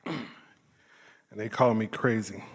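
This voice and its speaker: An actor playing a troubled, passionate painter, musing aloud haltingly in a theatrical stage monologue. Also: male voice, fairly low pitch, lively intonation, gravelly, slightly rough, heavily acted. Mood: brooding, musing. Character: troubled, passionate male painter, brooding and unhinged. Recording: reverberant hall, stage performance.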